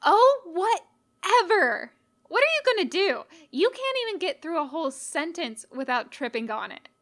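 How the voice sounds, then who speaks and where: A woman's voice: very high-pitched, very animated, normal speed, a bit bright, pitched above the performer's own voice, heavily acted. A woman voicing a bratty, defiant young rabbit girl in a storybook, sneering and mocking. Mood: scornful defiance.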